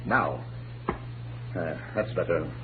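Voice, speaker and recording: An actor playing a smug, formal murderer, controlled and menacing, in an old radio drama. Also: male voice, low voice, takes it slowly. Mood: cold, menacing. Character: cold, smug, commanding male murderer villain. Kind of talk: 1940s radio drama acting. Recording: dull, noisy old radio recording with hum.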